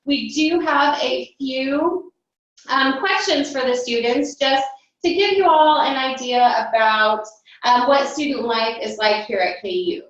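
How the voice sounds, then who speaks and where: A high-voiced woman hosts a university webinar, speaking in a friendly way with frequent "um"s. She sits well away from the microphone. The room is very echoey and the sound is dull. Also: unhurried, recorded from a distance. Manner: friendly, fillers, directing attendees. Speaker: admissions staff host. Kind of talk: hosting a webinar. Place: office or meeting room, off camera.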